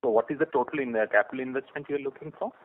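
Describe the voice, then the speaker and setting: A man with an Indian accent, an investor calling in with questions in a halting, informal way. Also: mid-range voice. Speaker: caller, investor asking questions. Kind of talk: probing questions on a conference call. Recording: thin narrow telephone line.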